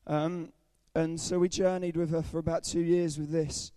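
A young man with a croaky, under-the-weather voice giving an earnest personal testimony at a church service, with frequent ums. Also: fairly high pitch, flat delivery, normal speed, warm tone, dry recording. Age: young adult. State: hoarse, croaky voice from illness. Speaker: student speaker at a church service.

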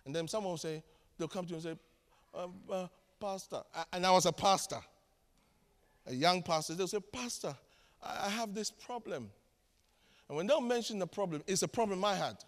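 A man with a Ghanaian accent preaching a sermon as pastor, telling stories with humor and swinging from shouts to hushed asides. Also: high voice, moderate pace, pauses often. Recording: long hall reverb.

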